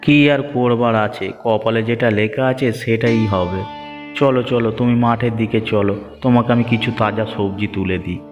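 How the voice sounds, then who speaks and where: A man's voice: medium pitch, fairly even intonation, moderate pace, long reverb tail, lo-fi sound, soft background music, natural voice. A man voicing a poor, anxious village farmer in a moral-story cartoon, plaintive and earnest. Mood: worried, resigned.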